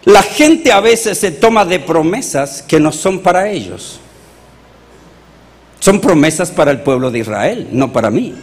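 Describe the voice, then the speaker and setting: A Chilean man, a pastor, preaching a sermon with animated rhetorical questions to his congregation. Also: fairly high pitch, big-room sound.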